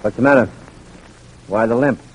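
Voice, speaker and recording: A man's voice: medium pitch, moderate pace, breathy edge, natural voice, poor audio. Man acting a male army lieutenant, hard, suspicious, commanding, clipped questions, old-time radio drama. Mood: firm, knowing. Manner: commanding, curt, interrogating.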